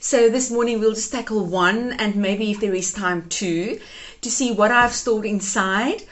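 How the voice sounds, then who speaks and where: A woman's voice: medium pitch, measured pace, fairly bright tone. A woman in her thirties whose first language is Afrikaans, chatting her way through a home decluttering vlog and gushing over keepsakes. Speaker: home organizing vlogger.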